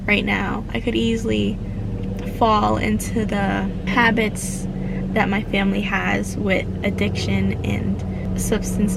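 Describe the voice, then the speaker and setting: A woman's voice: medium pitch, gravelly, noisy background. A woman about to turn twenty, vlogging from inside her car in an earnest, grateful, rambling way, using filler words as she talks into her phone. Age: late teens. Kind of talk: reflective casual vlog.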